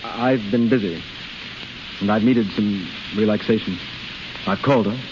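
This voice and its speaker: An actor playing an evasive, indignant suitor, curt and defensive, in a hissy, echoing 1930s radio drama. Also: male voice, lowish voice, measured pace, natural voice. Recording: reverberant, muffled, hissy 1930s radio transcription.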